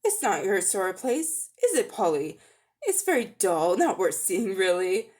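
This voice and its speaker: A woman's voice: medium pitch, sing-song, unhurried, a little mellow. A woman voicing a nervous, polite young schoolboy in a storybook, hesitant, stammering and protesting. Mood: nervous, evasive. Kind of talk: dramatic storybook reading.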